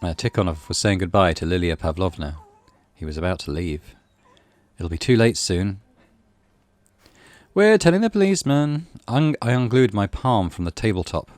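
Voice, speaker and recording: A man doing a let's play, reading a visual novel in a dramatic, animated way over game music. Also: low-pitched, moderate pace, dry recording. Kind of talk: let's play, expressive story narration.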